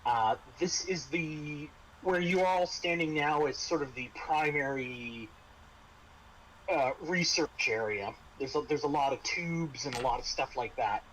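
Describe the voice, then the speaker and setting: A man acting as game master, describing scenes and explaining rules in a halting way, restarting his phrases. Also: a little high, a bit bright, slight background noise, low-quality recording. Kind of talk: tabletop RPG narration and casual rules talk.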